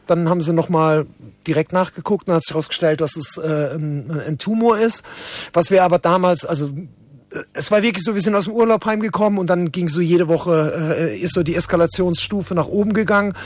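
A man's voice: high-pitched, brisk, dry recording. A man telling anecdotes in a casual interview, often repeating and restarting his words as he goes. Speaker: interview guest, drum and bass musician and event participant.

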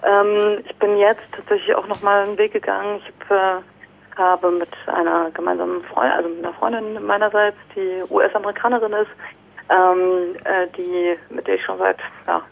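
A woman's voice: medium pitch, level tone, normal speed, gravelly. A woman singer-songwriter talking as an interview guest, hesitant with many fillers and restarts, heard over a phone line. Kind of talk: casual radio interview by phone.

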